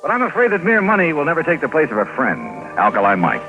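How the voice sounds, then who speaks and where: An actor playing a shrewd, hard-boiled insurance investigator in a 1950s radio drama, crisp and probing. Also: male voice, fairly high pitch, wildly swooping pitch, fast, muffled recording. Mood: wry, wistful. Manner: crisp, probing, matter-of-fact. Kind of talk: 1950s radio detective acting. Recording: vintage 1950s radio recording with music.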